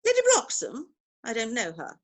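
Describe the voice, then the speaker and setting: A woman playing an imperious, snobbish older aristocratic mother in a theatrical comedy reading, speaking with pompous, deliberate emphasis. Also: fairly high pitch, huge pitch swings. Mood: disapproving. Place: virtual performance from home in isolation.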